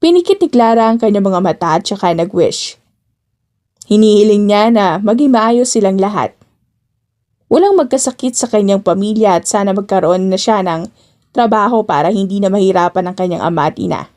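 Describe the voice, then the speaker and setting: A woman's voice: mid-range voice, animated, moderate pace, a little mellow. A woman with a Filipino accent narrating a scripted story as an audiobook reader.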